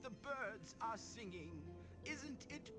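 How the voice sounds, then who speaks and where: A man's voice: very high-pitched, expressive, slow pace, heavily acted. An actor voicing an old male sage who proclaims a hero's victory in a ceremonious way, in a game cutscene over music. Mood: proclaiming.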